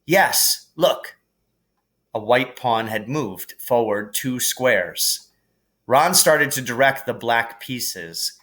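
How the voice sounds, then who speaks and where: A man reading a storybook aloud as an expressive narrator, in a measured, deliberate storytelling manner. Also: mid-range voice. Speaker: teacher reading a novel aloud.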